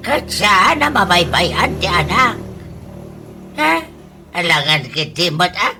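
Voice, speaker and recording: A woman with an Ilocano accent playing a gruff, scolding elder storekeeper in a comedy radio drama, blunt and questioning. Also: on the low side, big pitch swings, takes it slowly, music in the background, exaggerated voice.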